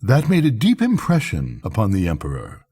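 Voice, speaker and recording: An older man reads a bedtime story in a low, husky voice. His narration is animated, with pitch that rises and falls widely, in the manner of a grandfather telling a tale. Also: normal speed.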